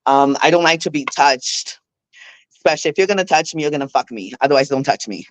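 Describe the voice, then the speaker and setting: A woman's voice: low-pitched, animated, fast, no room sound, poor audio. A woman from Brazil with a Brazilian Portuguese accent, speaking candidly as a guest in a casual interview, laughing often. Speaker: guest, figure bodybuilder.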